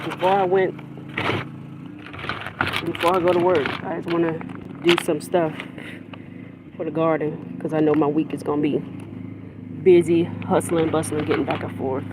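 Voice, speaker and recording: An adult teacher chats loosely on a phone livestream from a backyard garden. The talk rambles, with restarts and trailing thoughts. A running generator leaves steady noise behind the voice. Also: mid-range voice, moderate pace, pauses often. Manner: rambling and chatty, with many restarts. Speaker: teacher of young children. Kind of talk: casual livestream chat while gardening. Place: outdoor yard garden. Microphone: handheld phone livestream. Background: generator hum and vehicle noise.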